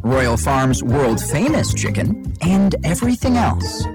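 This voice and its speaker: Lowish, animated woman announcer over music. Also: slow pace. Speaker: radio ad announcer. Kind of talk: scripted commercial read. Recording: prominent music behind the voice.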